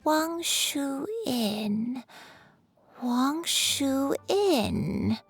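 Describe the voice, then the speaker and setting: A woman voicing a gentle, forgetful elderly granny in a video game, wistful and halting. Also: sits high, expressive, soft background music, heavily acted. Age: older. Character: frail, forgetful, gentle old granny recalling her past. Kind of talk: English video game voice acting.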